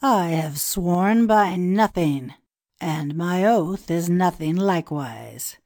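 A woman's voice: on the low side, animated, slow, darkish tone, no room sound, clean studio sound. A woman playing a proud, sulky and treacherous ancient Egyptian priest, declaiming solemnly in a storybook dramatization. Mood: triumphant, defiant. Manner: grandiose, solemn, emphatic declamation. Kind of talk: dramatized children's storybook reading.

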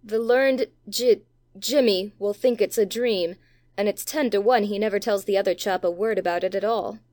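Male reader as sleepy young boy, children's storybook, offhand and sure of himself, high for him. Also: fairly even intonation, moderate pace, darkish tone, no room sound, clean studio sound, natural voice. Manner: drowsy, offhand.

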